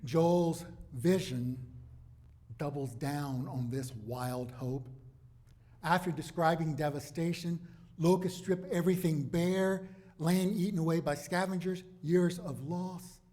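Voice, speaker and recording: A man preaching a sermon as a pastor, in deliberate, emphatic phrases broken by dramatic pauses. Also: fairly high pitch, warm tone.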